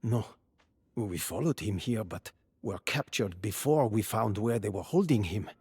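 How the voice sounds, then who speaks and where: A male tracker held captive in a fantasy game. His voice is very raspy and breathy, earnest in tone, recorded dry and close. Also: fairly low pitch, lively intonation, a little slow, a little mellow, dry recording, full character voice. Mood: earnest, urgent. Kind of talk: video game dialogue acting.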